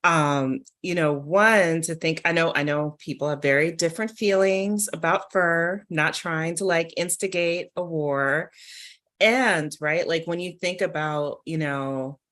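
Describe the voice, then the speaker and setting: A woman speaking conversationally on a literary panel, thinking aloud with animated asides. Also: low-pitched, a little slow.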